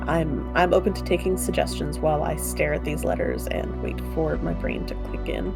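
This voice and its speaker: A woman's voice: low-pitched, steady tone, normal speed, over loud music. A woman playing a tabletop roleplaying game, talking casually as she works through her turn.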